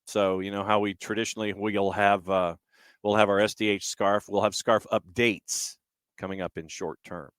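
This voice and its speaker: A man hosting a sports talk show, chatting casually about soccer in a halting, conversational way as he answers listener comments. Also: fairly low pitch, level tone, fairly bright tone, clean tone, dry recording, clean studio sound. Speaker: sports talk radio host.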